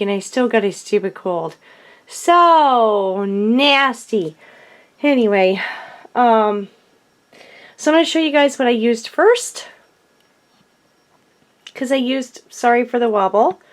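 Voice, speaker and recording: A woman with a cold and a hoarse voice, chatting casually as she shows her handmade cards, with frequent ums and self-corrections. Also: a little high. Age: adult. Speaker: card-making vlogger. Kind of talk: casual craft show-and-tell.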